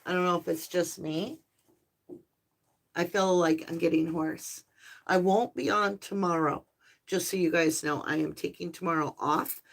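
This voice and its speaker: Slow, low-voiced woman tarot reader. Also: fairly even intonation, creaky. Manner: soft, slow, spiritual and affectionate, addressing viewers as lovelies. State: slightly hoarse and tired. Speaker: tarot reader and channel host. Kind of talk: live-stream tarot reading and chat.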